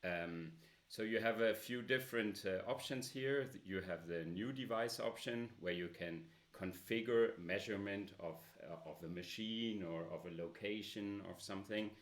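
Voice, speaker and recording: A man with a loud voice presenting a smartphone app in a casual demo, with many filler pauses. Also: on the low side.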